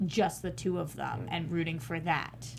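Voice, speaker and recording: A woman's voice: deep voice, fairly even intonation, a little slow, gravelly, a little hiss or hum. Hesitant woman asking a question. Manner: hesitant, searching for words. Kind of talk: casual panel discussion.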